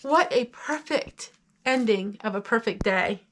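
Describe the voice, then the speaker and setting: A woman's voice: a little high, lively intonation, a little slow, fairly bright tone, slight background noise. An older woman with an American accent, hosting a homesteading vlog and chatting to her viewers.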